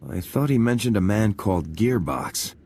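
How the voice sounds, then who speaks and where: A dutiful army lieutenant in a game, lowish voice, terse and all business. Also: male voice, animated, moderate pace, darkish tone. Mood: businesslike. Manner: clipped, formal, businesslike. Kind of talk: video game acting.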